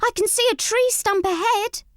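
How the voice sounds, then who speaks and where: A woman's voice: very high voice, normal speed, dry recording, studio quality, pitched above the performer's own voice. A woman voicing a grumpy, blustering young boy mouse in a storybook, with blunt exclamations. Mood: alarmed.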